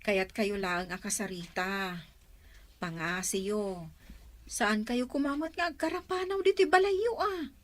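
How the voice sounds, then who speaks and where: A woman's voice: mid-range voice, measured pace, lightly acted. An actress playing an adult daughter who scolds her mother in an exasperated way. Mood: scolding.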